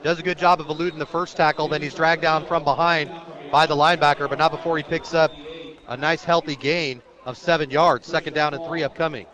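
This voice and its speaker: High-voiced American football play-by-play man. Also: fairly even intonation, a little fast, a bit bright, muffled recording. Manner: descriptive, calling action as it unfolds. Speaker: play-by-play sports announcer. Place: outdoors at a football stadium.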